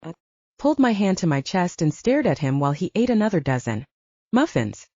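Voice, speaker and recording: A woman's voice: on the low side, very animated, fairly quick, dark tone, no room sound, muffled recording. A woman narrating first-person fiction as a scripted audiobook, recorded close in a dry space.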